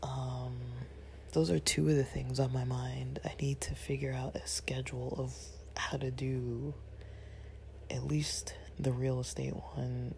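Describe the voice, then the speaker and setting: Male vlogger, raspy and gravelly, low-key whispery ramble, a bit under the weather. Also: flat delivery, a little slow, a little mellow, a little hiss or hum. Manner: rambling, many fillers, half-whispered. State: a bit unwell, rested. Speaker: channel host. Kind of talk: casual monologue to viewers. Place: at home.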